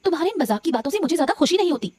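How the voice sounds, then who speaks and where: A woman's voice: high voice, big pitch swings, rapid-fire, warm tone. A woman voicing an exasperated, sensible wife in a cartoon story, scolding wearily and emphatically. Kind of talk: Hindi cartoon story dialogue.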